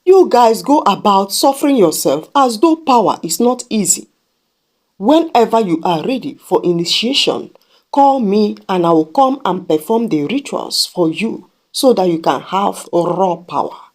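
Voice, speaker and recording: A woman's voice: medium pitch, lively intonation, measured pace, darkish tone. A woman doing a mocking old male sorcerer. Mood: taunting.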